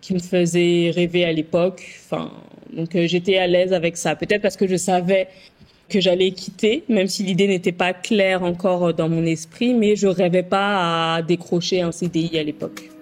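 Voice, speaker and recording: A woman's voice: on the low side, fairly even intonation, moderate pace, darkish tone. Young woman, Paris region, hesitant but forthcoming, on a call. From France, Paris region. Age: young adult. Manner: hesitant with many fillers, open and detailed. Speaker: guest. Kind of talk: casual conversation.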